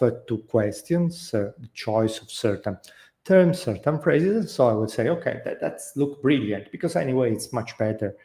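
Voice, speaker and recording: A man's voice: mid-range voice, darkish tone. A middle-aged man with an Italian accent, a native Italian speaker, giving a thoughtful, unscripted talk with frequent fillers and restarts. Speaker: host. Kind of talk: casual talk.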